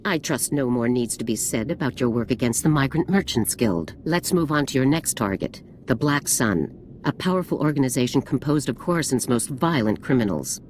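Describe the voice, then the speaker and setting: A woman's voice: rumbling low, expressive, moderate pace, a little mellow, slight background noise. A woman playing a stern army general who gives orders in a clipped, unbending way in a video game cutscene. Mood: briefing. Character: stern female general, cold and commanding.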